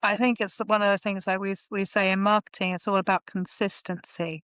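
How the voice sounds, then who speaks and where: A woman's voice: medium pitch, level tone, a little fast, a bit bright, no echo. A woman guest on a podcast, chatting casually and thinking aloud with frequent restarts. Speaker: podcast guest, marketing consultant. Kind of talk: casual conversation.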